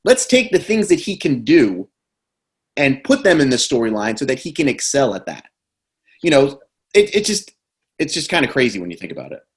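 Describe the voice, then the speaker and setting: Animated male podcast host. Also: mid-range voice, normal speed. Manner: chatty, gives short backchannel replies. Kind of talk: conversational podcast interview.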